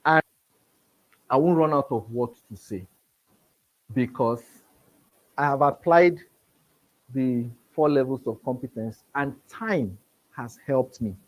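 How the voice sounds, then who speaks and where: A man's voice: medium pitch, slow pace, long pauses, low-quality recording. A man with a Nigerian accent who stammers, repeating words as he teaches a live business seminar. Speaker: business trainer. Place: seminar room with a live audience.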